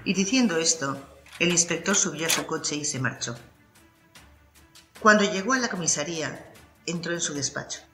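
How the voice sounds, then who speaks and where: An audiobook narrator reads a scripted crime story in a bright, forceful voice of medium pitch. The sound is roomy and echoey, with music underneath. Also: animated, normal speed, pauses often.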